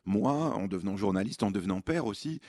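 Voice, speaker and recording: A man's voice: fairly low pitch, animated, brisk, darkish tone, no room sound. A man in his forties, a journalist being interviewed on radio, speaking reflectively and earnestly with frequent hesitations. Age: 40s. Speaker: journalist and author, studio guest. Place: radio studio.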